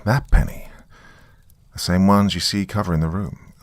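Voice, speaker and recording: A man's voice: sits low, measured pace, dry recording, clean studio sound. A man voicing a weary, sardonic small-town man, rambling wryly through a taped confession in a horror story. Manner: rambling, wry, colloquial. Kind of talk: dramatic monologue as a taped confession.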